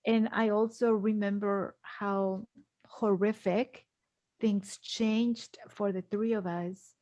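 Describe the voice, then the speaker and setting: A middle-aged woman from Guatemala shares personal stories as a podcast guest. She speaks slowly at a medium pitch, earnest and emphatic, often repeating a phrase for weight. The sound is dry, with no room echo. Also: steady tone, mellow. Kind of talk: personal storytelling in an interview.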